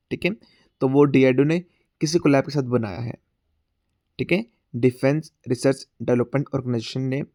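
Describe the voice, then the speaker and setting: A male current affairs teacher with a sore throat, going through exam quiz questions. He mixes Hindi and English, often says "theek hai", and reads the English question text slowly. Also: mid-range voice, a little mellow, no room sound, clean studio sound. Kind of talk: exam-prep class, explaining quiz questions.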